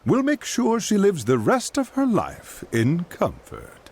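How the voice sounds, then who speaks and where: An oily, swooping villain's aide. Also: male voice, huge pitch swings, slow pace, darkish tone, slight background noise, heavily acted. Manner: oily, wheedling, pitch swooping widely. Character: sly male aide to a count, fawning and cunning. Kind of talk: dubbed video game cutscene.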